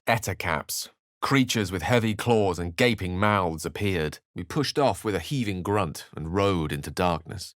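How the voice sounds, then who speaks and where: A man's voice: sits low, fairly bright tone, no echo, studio quality. A man playing a refined, eloquent wizard in a video game, courteous and wry, with articulate, formal and theatrical speech. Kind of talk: video game voice lines and narration.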